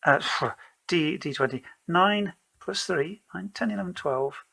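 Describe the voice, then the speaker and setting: Slow-paced man narrating a solo tabletop game. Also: sits high, creaky, bright, forceful tone, husky. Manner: rambling, self-deprecating, playfully theatrical. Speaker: solo role-player narrating his game.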